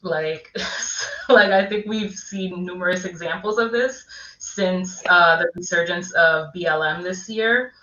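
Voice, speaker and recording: A woman's voice: on the low side, a little slow, sharp tone. Frank woman on an echoey call. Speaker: guest, assistant professor. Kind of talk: casual roundtable chat. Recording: echoey, dull lo-fi call audio.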